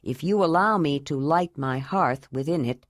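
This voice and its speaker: A woman voicing an earnest, loving young man in an audiobook, lowering her voice to speak calmly. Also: moderate pace, no echo, studio quality, lightly acted. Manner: low, calm and earnest.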